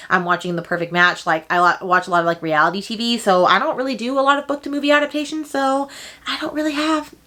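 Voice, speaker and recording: Female book vlogger, very quick talker, mid-pitched, emphatic and slangy, casual delivery with gushing exaggeration. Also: bright, forceful tone. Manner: slangy, informal with frequent "like" and "okay". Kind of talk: casual chat to camera.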